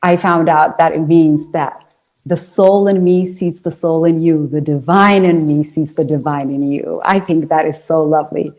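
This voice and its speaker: A woman's voice: low-pitched, steady tone, normal speed, darkish tone. Chatty woman on a video call. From Ethiopia. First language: Amharic. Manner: talkative, laughs easily, restarts sentences. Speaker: guest, singer and speaker. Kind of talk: casual, chatty interview answers.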